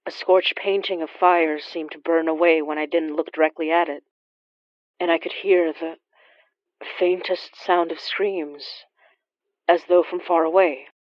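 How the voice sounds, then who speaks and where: A woman playing the grave first-person narrator of a horror audio drama, speaking deliberately with dramatic pauses. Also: low voice, fairly even intonation, no room sound, dull audio. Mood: grave, eerie. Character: grave, watchful woman recounting an eerie supernatural encounter.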